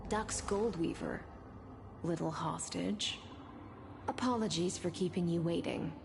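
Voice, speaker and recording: A woman's voice: fairly low pitch, measured pace, vocal fry, background noise. A woman playing a composed, authoritative imperial envoy in a fantasy game, formal and cool, with music behind her. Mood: cool, polite. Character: composed, commanding female imperial envoy and warrior. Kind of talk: dramatic video game voice acting. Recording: background music under the voice.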